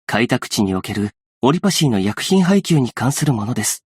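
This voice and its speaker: Game role: gentle young male aid worker, earnest and patient, polite delivery, slightly husky, very dry recording. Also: medium pitch, very animated, no echo. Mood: calm, earnest. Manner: polite, soft-spoken, measured formal speech. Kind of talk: scripted game character voice lines.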